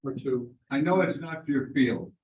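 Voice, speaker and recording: A man's voice: medium pitch, measured pace, a little mellow. A man in the audience asking a question in a chatty way. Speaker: audience member. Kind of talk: question from the floor. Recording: distant, dull, echoey room.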